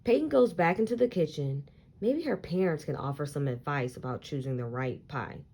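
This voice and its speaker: A woman reading a children's story aloud in an expressive, deliberate storytelling style. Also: low voice, a little hiss or hum. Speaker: picture book read-aloud reader.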